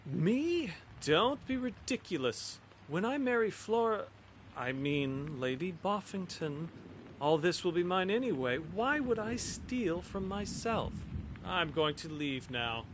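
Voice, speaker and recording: Man acting a shifty haughty suitor, lively high-set voice. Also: slow, slight background noise, full character voice. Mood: defensive, haughty. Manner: defensive, suspicious, indignant. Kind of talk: acted game dialogue.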